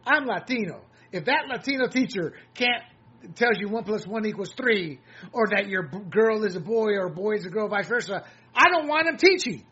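A man from Puerto Rico co-hosting a political commentary show, talking emphatically and getting loud when he makes a point. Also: high voice, normal speed, husky. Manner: animated, emphatic, rising to near shouting when worked up. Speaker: co-host. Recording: dull lo-fi sound with faint noise.

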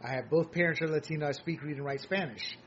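A man from Puerto Rico co-hosting a political commentary show, talking emphatically and getting loud when he makes a point. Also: on the high side, quick pace. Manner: animated, emphatic, rising to near shouting when worked up. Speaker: co-host. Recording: dull lo-fi sound with faint noise.

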